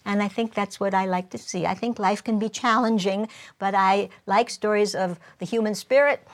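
A woman storyteller speaking earnestly, telling a true story in the first person as the person it happened to and slowing for emphasis. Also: medium pitch, steady tone. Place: performance studio with audience.

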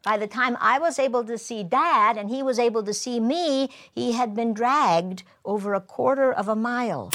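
A woman storyteller speaking earnestly, telling a true story in the first person as the person it happened to and slowing for emphasis. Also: fairly high pitch, a bit bright, a little hiss or hum. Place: performance studio with audience.

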